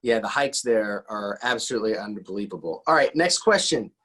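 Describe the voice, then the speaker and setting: A man's voice: on the low side, expressive, a little fast, a bit bright. A man hosting a casual interview in an encouraging, enthusiastic, rambling conversational way, often repeating his words. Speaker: interview host.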